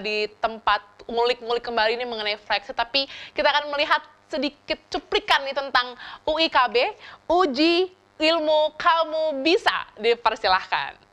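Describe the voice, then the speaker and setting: A woman hosting a talk show, chatty and lively, with many small tag words. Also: on the high side, moderate pace, smooth. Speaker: host.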